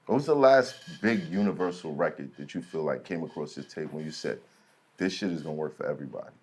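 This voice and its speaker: A man's voice: rumbling low, animated, fast talker, darkish tone, breathy edge. Blunt, slangy male podcast host. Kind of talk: casual interview chat.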